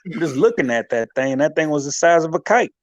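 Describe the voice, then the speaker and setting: A man's voice: on the high side, expressive, fairly quick, dry recording, highs cut off, close to an everyday voice. A man playing a profane, pompous caller on a comedy radio bit, speaking. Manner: drawling, profane, pompous ranting.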